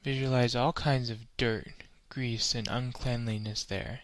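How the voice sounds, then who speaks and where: Slow, measured man guiding meditation. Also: mid-range voice, steady tone, no room sound. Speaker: meditation guide. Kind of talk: scripted guided meditation.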